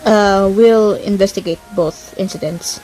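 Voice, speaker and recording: A woman's voice: mid-range voice, steady tone, a little slow, warm tone, poor audio. A woman doing casual, informal gameplay commentary, reacting in short remarks. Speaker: gaming video creator. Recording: voice-over with game music behind.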